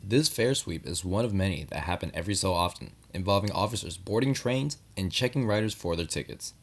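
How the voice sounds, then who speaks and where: A man's voice: low-pitched, animated, fairly quick. A man working as a news reporter, reading scripted narration for a news story.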